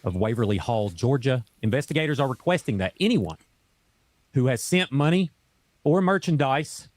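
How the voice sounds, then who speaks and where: Hoarse man reading a news statement. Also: medium pitch, very animated, normal speed, dry recording. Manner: casual, stumbles over words, adds corrections. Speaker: online video host reading a news statement. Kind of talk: reading a news report aloud.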